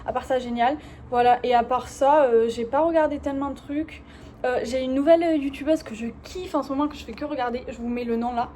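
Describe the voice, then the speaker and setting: Young french woman w accent from france, high mellow voice, chatty vlog, super casual n slangy. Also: a little fast, slight background noise. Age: young adult. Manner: informal, slangy, expressive. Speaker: vlogger. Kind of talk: casual confiding chat to viewers.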